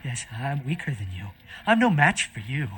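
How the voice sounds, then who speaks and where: A cynical servant hooked on vampire blood in a video game. He taunts and fawns theatrically, mid-pitched, swinging between loud and hushed, with faint noise behind him. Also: very animated, moderate pace. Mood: bitter, fawning. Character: cynical human blood-addicted vampire servant, bitter and fawning.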